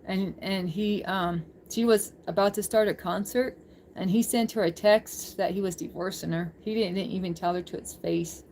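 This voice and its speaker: Casting: woman livestream host, mid-pitched voice, informal and giggly, loose rambling chat delivery. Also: steady tone, normal speed, a little mellow, slight background noise. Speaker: livestream host chatting with viewers.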